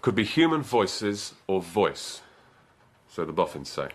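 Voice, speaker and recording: An actor playing a genial, obliging police inspector in a radio drama. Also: male voice, sits low, sing-song, a little slow. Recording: broadcast radio drama with faint noise.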